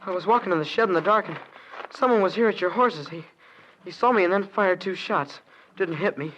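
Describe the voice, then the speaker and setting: A man's voice: sits high, fairly quick, a bit bright, a little hiss or hum. An actor playing an eager young ranch boy in a 1940s radio western, talking in a breathless rush. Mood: shaken. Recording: dull old radio recording.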